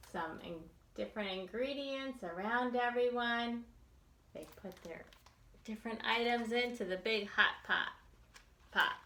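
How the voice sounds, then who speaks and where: Bright-voiced woman reading slowly to children. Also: fairly high pitch, slight background noise. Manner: slow, deliberate, expressive delivery with repetition. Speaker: children's librarian leading a storytime. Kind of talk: sing-song read-aloud and chanting for young children. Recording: roomy, echoey sound.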